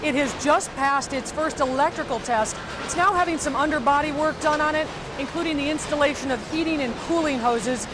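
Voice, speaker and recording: A woman's voice: high voice, brisk, music in the background, poor audio. A middle-aged woman, a TV news reporter, delivering scripted news narration.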